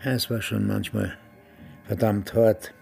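An actor playing a nostalgic, muddled old former military man in a radio drama, grumbling in halting, broken phrases. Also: fairly low pitch, little pitch movement, darkish tone, soft background music. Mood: wistful, brooding. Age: older. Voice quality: raspy, gravelly.

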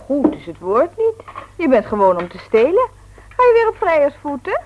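Teasing chatty sister in an old dutch radio play, voice swoops around, hissy recording. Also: female voice, sits high, wildly swooping pitch, moderate pace, dull audio. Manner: teasing, colloquial. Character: teasing, lively grown-up sister and daughter of the house.

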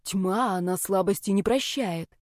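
A woman's voice: mid-range voice, a little slow, a little mellow, dry recording, close to an everyday voice. Scrappy, loyal young naga guy performed by a woman, slangy, protective, dry audiobook sound. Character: brash, loyal young male naga snake friend.

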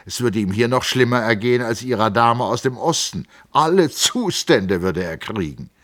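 A man's voice: mid-range voice, animated, normal speed, fairly bright tone, no room sound, studio quality, voice pushed up, close to an everyday voice. A man voicing an earnest, eager young male engineer in a novel reading. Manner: earnest, eager, increasingly heated.

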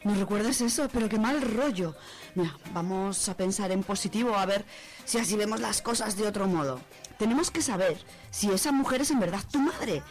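A woman's voice: medium pitch, expressive, normal speed, soft background music, close to an everyday voice. A woman playing a slangy, practical young student in an amateur radio soap, with a Spanish accent. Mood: reassuring, worried. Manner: colloquial, slangy. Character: chatty young university student woman, practical loyal friend.

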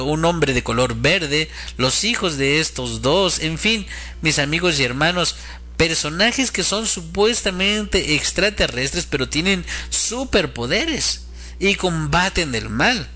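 A man's voice: fairly high pitch, animated, slow, bright, forceful tone. A man giving an earnest, moralizing religious commentary in a sermon-like lecture style.

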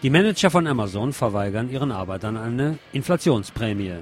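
A man's voice: mid-range voice, expressive, fairly quick, music in the background. A man presenting radio news, reading from a script in German news style.

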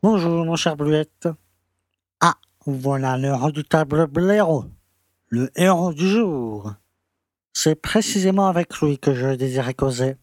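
A man's voice: a little high, measured pace, no echo, lightly acted. Man acting a pompous small-town mayor, dry sound. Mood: dry, dismissive. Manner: pompous, patronizing. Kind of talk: audio drama acting.

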